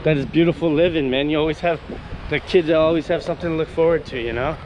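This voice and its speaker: A man's voice: on the high side, fairly even intonation, fast talker, noisy background. A young man from Canada chatting casually on camera as he walks outdoors, rambling and enthusiastic with many fillers and restarts. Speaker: vlogger. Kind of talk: casual walk-and-talk vlog. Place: outdoors on a coastal town street.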